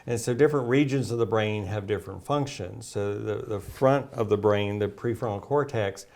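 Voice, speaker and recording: A man's voice: fairly low pitch, normal speed, darkish tone. A man speaking as a naturopath guest, explaining at length with many fillers and restarts. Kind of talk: casual health talk.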